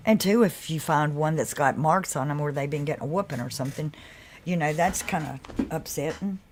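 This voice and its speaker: Woman, deep carrying voice, low-pitched, chatty livestream host. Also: brisk, background noise. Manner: loose and chatty, with laughs and asides to viewers. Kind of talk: casual live chat.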